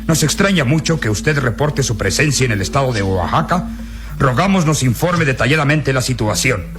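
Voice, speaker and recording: Clear music sits behind an actor playing a male military commander in a radio serial. His medium-pitched voice is brusque and authoritative, with the deliberate delivery of official dictation. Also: expressive, lightly acted. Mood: official, puzzled. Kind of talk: Latin American radio drama acting.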